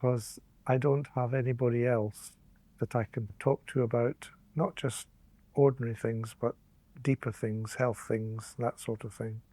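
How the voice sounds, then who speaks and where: A man with a mellow, dark-toned voice talks gently and sincerely in short phrases. The recording is close and dry. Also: medium pitch, steady tone, a little slow, dry recording. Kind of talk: intimate interview conversation.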